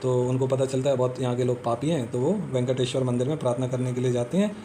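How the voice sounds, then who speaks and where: A man explains exam memory tricks, walking through a little story step by step and checking in with the listener again and again. His voice is warm and mellow at an ordinary pitch. A faint hiss sits under the recording. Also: steady tone, fast. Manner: repetitive, peppers talk with "theek hai" and "hai na". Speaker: exam coaching teacher. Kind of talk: casual explanatory lesson with mnemonic tricks.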